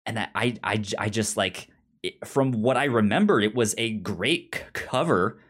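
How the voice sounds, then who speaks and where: A man chatting casually as a podcast co-host, with a frequent stutter that repeats sounds and words and a halting, rambling delivery. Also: fairly low pitch, lively intonation, a bit bright, slightly rough.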